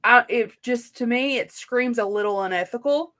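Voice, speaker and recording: A woman in a casual book discussion as a co-host, talking informally with slangy phrasing and softened opinions. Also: on the high side, moderate pace.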